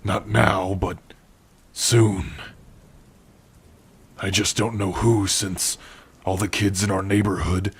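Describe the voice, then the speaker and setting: A man playing a gentle, protective orc blacksmith in an ASMR roleplay, speaking tenderly and without hurry to a beloved listener. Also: deep voice, level tone, exaggerated voice. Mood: reflective. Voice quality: husky. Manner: unhurried, tender, with soft sighs and hums. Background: rain.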